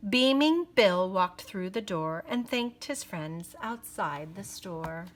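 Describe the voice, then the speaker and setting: A woman reading a children's picture book aloud in an expressive, deliberate storytelling manner. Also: mid-range voice, noisy background.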